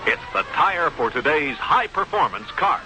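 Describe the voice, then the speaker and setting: A man reading a scripted sales pitch as a 1960s radio commercial announcer. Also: big pitch swings, moderate pace, rough recording. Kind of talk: 1960s radio advertisement read. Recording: vintage radio commercial over music. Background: loud music.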